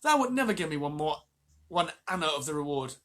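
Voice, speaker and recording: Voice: mid-range voice, big pitch swings, brisk, a bit bright. A storyteller voicing a frightened old village hunter who mutters through his teeth, then pleads. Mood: grudging.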